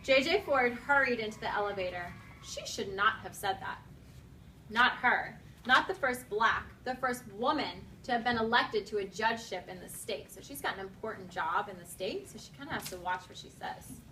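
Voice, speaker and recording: A woman teacher speaks in a fairly high voice, mixing brisk commands to pupils with expressive reading aloud. She is recorded in a noisy classroom, a little away from the microphone. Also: distant mic. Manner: directive, clipped commands alternating with expressive reading. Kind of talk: classroom instruction with read-aloud.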